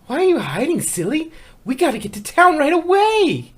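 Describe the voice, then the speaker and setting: A woman voicing an excitable young cartoon pony mare, chirpy, eager and emphatic. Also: high-pitched, normal speed, pitched above the performer's own voice. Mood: excited.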